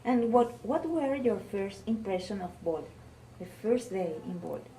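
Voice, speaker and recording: A woman interviewer asking questions in a halting way, often rephrasing them. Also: medium pitch, lively intonation, mellow, rough recording. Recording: faint background noise, room ambience.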